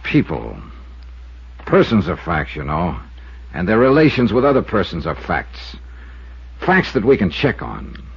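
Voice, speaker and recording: An actor playing a brisk, wry American insurance investigator in 1950s radio drama, with clipped delivery. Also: male voice, low voice, huge pitch swings, creaky, husky, dry recording, lo-fi sound, heavily acted. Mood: thoughtful, insistent. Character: sharp, persistent freelance insurance investigator, a man. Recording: band-limited 1950s radio recording with music.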